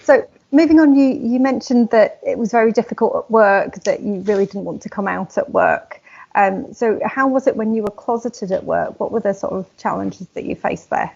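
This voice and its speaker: A woman hosts a conversational interview in a chatty, agreeable way. Her medium-pitched voice is husky, with plenty of fry. The sound is somewhat dull and lo-fi. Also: animated, moderate pace, a little mellow. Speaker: interviewer, host.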